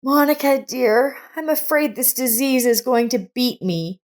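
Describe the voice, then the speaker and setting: Woman narrator as ailing older woman, kindly, gently pleading, earnest, slow-spoken, storybook character. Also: high-pitched, animated, pitched above the performer's own voice. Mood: frail, fearful.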